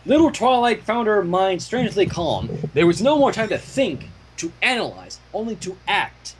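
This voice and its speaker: A man reading fan fiction aloud as an expressive narrator, with casual asides. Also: sits high, moderate pace, background noise.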